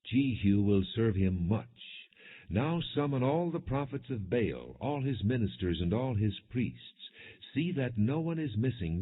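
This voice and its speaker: The audio is narrow and muffled, like a phone line. A man with a low, faintly husky voice reads scripture as an audiobook narrator. His delivery is measured and solemn, scripted rather than dramatized.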